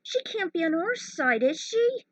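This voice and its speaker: A feisty, exasperated heroine, as a woman narrator puts her on in an action story. The voice jumps high and loud, exclaiming indignantly. It is recorded dry and close. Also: sing-song, measured pace, no room sound, full character voice.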